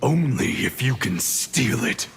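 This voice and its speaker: A man's voice: mid-range voice, very animated, a little slow. A man playing a cold, resolute warrior clan leader in a fighting game, stern and challenging in delivery. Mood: cold, defiant. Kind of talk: fighting game dialogue acting. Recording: very noisy game sound behind.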